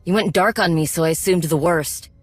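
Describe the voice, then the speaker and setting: A woman plays a proud warrior mechanic in a video game. She talks in a practical, guarded way with a wry edge. Music sits softly underneath. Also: fairly low pitch, fairly quick, no room sound, lightly acted. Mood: guarded concern. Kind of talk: video game cutscene acting.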